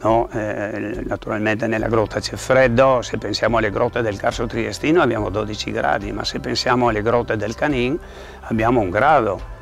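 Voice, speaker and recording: Older man, low gravelly voice. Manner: halting, repeating words as he searches. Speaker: president of a regional caving federation. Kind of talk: explanatory talk to camera. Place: outdoors by a rock face.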